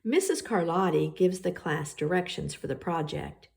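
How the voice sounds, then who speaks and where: A woman reading a children's picture book aloud as a storyteller, with expressive and deliberate phrasing. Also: on the low side, gravelly. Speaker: storybook reader.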